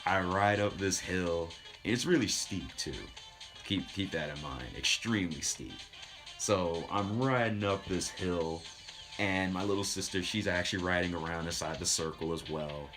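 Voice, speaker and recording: A man's voice: low-pitched, moderate pace, over music. A man casually telling anecdotes as a streamer, in an informal, self-deprecating way.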